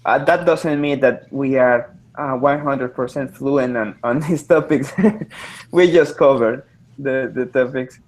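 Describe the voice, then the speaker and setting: A man's voice: mid-range voice, unhurried, a little hiss or hum, low-quality recording. A man, presenting to an online study group, hesitant and full of filler sounds. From Mexico. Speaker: student presenter. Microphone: video call.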